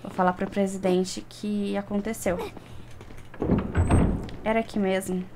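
A woman's voice: mid-range voice, flat delivery, measured pace, heavy background noise. A young woman with a Brazilian accent giving casual, playful, chatty commentary while playing a video game. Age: young adult. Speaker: gaming streamer. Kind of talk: casual let's play commentary. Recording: game sound clearly behind voice.

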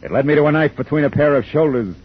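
An actor playing a world-weary private detective in 1950s radio noir, with a wry, hard-boiled manner. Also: male voice, on the high side, fast talker, slight background noise, lightly acted. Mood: hard-boiled, punchy. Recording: dull 1950s radio recording.

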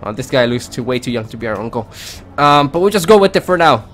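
A man's voice: mid-range voice, lively intonation, quick pace. A man hosting a let's play, reading game text aloud with casual commentary. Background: game music.

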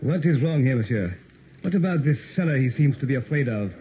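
An actor playing a blustering, self-important police inspector with pompous, formal phrasing in an old-time radio horror drama. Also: male voice, medium pitch, lively intonation, brisk, close to an everyday voice. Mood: inquiring. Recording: tinny, noisy old radio recording.

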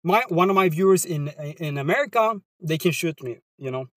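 A man's voice: a little high, lively intonation, normal speed, no room sound, studio quality. A man from Sweden with a Swedish accent, chatting casually with viewers on a live stream from inside his car, laid-back and slangy.

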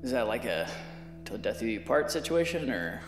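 An actor playing a young, apologetic, awkward slacker, hesitant and very colloquial. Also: male voice, mid-range voice, big pitch swings, normal speed, natural voice. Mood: sheepish. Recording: echoey long reverb, music behind.

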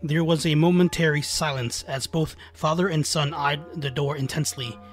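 A man's voice: on the high side, fairly even intonation, normal speed. A man tells a scary folktale in a slightly raised register. His delivery is scripted and dramatic, building suspense as a storyteller does, over background music. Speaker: storyteller, narrator.

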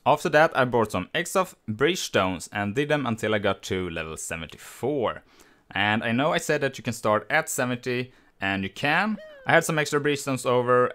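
A man with a Swedish accent, hosting a gaming channel and explaining in a voice-over how he levelled a character, relaxed and plainly worded. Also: medium pitch, clean tone. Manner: relaxed, explanatory, slightly non-native phrasing. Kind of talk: game guide voice-over. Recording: voice-over with faint music behind.

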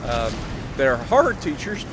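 A man's voice: mid-range voice, sing-song, takes it slowly, background noise. A young American man casually telling a story over tank game play in short, emphatic phrases, as host of a gaming video.

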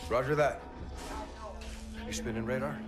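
An actor playing a decisive, dutiful space navy officer with a clipped, commanding manner in a military video game. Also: male voice, mid-range voice, steady tone, a little slow, music in the background, natural voice. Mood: commanding, urgent. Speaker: lieutenant and team leader, made captain. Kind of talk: cinematic military game acting.

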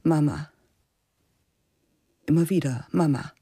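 A woman narrating a literary radio play in a slow, measured, spare voice that often sinks to a whisper. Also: deep voice, mellow, husky, dry recording, studio quality.

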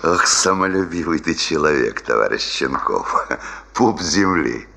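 An actor playing an authoritative, sober veteran boss in a 1970s Soviet radio play, speaking in a terse, blunt, commanding way. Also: male voice, a bass voice, animated, measured pace, creaky, sharp tone, heavily acted. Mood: blunt, knowing.

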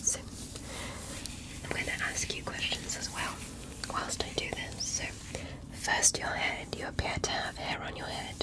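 A woman playing a curious, polite alien doctor in a gentle, methodical ASMR medical roleplay, whispering her questions. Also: a little slow, long pauses, lightly acted, low-quality recording.

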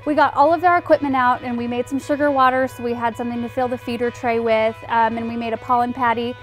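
A woman's voice: fairly high pitch, steady tone, quick pace, faint music behind, low-quality recording. A young woman hosting a gardening show, chatting casually and explaining things with light laughs and frequent ums. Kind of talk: casual how-to narration. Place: outdoor backyard garden, windy.

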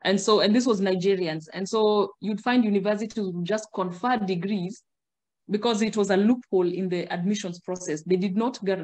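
A middle-aged Kenyan woman on a video call, explaining in a measured way and gesturing as she talks. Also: medium pitch, darkish tone. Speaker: guest, migration agent. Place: indoor room.

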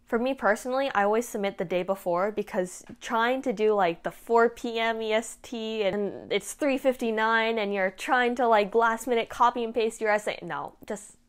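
A woman's voice: on the high side, normal speed, hardly any pauses, smooth. A young woman in her late teens giving casual, frank advice to camera as a student vlogger. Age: late teens, high school student. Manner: informal, frank, peer-to-peer. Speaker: vlogger giving application tips. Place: small indoor room at home. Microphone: clip-on lapel mic.

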